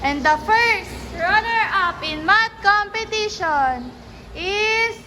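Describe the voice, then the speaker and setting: A woman's voice: very high voice, expressive, takes it slowly, sharp tone. A woman with a Filipino accent making a ceremonial contest announcement in a school play. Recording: faint background noise.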